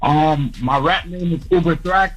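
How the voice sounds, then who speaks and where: Man, from Florida, radio caller, nervous, stammering, high voice, faint music. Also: unhurried, fairly bright tone. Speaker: caller, rapper.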